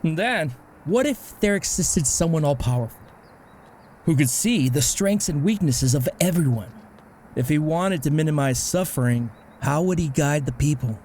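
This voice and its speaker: A man's voice: on the high side, expressive, moderate pace, darkish tone, slight background noise, lightly acted. A man playing an easygoing, self-deprecating middle-aged father in a comic dub, with a casual, reflective way of talking. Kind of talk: amateur comic dub acting.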